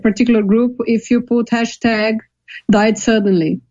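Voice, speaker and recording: A woman's voice: a little high, fairly even intonation, normal speed, dark tone, no room sound. A woman from Brazil with a Brazilian accent, Portuguese native, calling in to a podcast, laughing easily and explaining patiently. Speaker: caller. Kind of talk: casual call-in chat. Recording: dull lo-fi call line.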